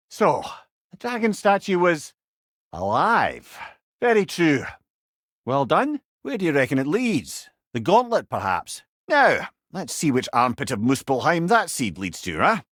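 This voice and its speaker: An actor playing a wry, clever older male storyteller companion in a video game, with a Scottish accent and a droll, expressive manner. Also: sits high, dry recording, studio quality, full character voice. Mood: wry, curious. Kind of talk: video game character dialogue.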